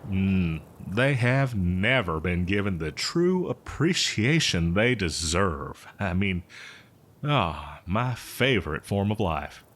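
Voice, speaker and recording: A man's voice: sits low, big pitch swings, fairly bright tone, exaggerated voice. A man playing an eccentric, cheerful botanist who survived from before the apocalypse, rambling hesitantly in a folksy roleplay monologue. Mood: musing, explanatory. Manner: hesitant, rambling, folksy, with chuckles and drawn-out words. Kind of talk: roleplay audio drama monologue addressed to listener.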